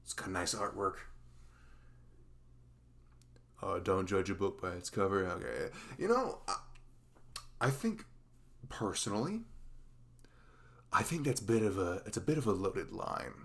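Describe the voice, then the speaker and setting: Man acting as dorky male best friend, ASMR roleplay, very deep, vocal fry, velvety, playful, teasing, rambling. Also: sing-song, normal speed, long pauses, a bit bright, heavily acted.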